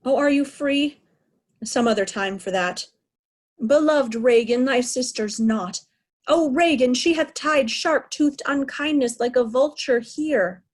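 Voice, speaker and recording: Woman acting proud old shakespearean king, slow and declamatory, high-ish voice, wounded and raging. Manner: declamatory, deliberate, anguished. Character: proud, aging king raging at ungrateful daughters. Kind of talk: Shakespearean reader's theatre acting.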